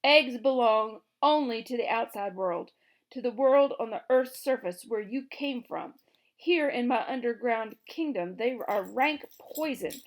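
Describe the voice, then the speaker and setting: A woman voicing a blustering, excitable male gnome king who shouts and panics in a storybook reading. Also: a little high, a little slow. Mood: nervous.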